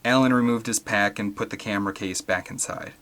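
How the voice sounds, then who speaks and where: A man's voice: lowish voice, a little fast, a bit bright. A man reading an adventure story aloud as scripted, dramatic audiobook narration. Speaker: audiobook reader.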